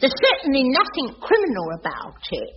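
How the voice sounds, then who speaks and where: An actress playing a doting, flustered older cleaner in a radio sitcom, hesitant and fretful. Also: female voice, high voice, huge pitch swings, fairly quick. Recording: muffled noisy radio recording, studio audience.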